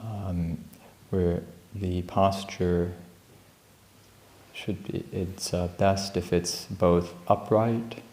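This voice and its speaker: A man leading a guided meditation as a teacher, speaking calmly and gently with unhurried pauses between phrases. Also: low voice, roomy sound. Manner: calm, unhurried, gentle instruction with long pauses. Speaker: meditation teacher at a Buddhist monastery. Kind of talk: guided meditation, unscripted spoken instruction. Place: indoor room at a monastery.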